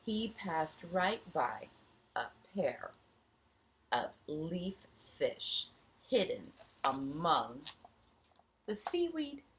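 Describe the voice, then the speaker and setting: A woman's voice: medium pitch, a little hiss or hum. A woman teacher reading a storybook aloud to young children, expressively, with little explaining asides.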